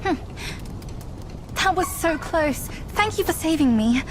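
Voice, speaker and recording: An actress playing an earnest, imploring young fox princess in a dramatic video game scene. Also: female voice, extremely high pitch, lively intonation, slow pace, background noise, exaggerated voice. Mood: sorrowful, earnest. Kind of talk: video game cutscene acting. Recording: music behind the voice.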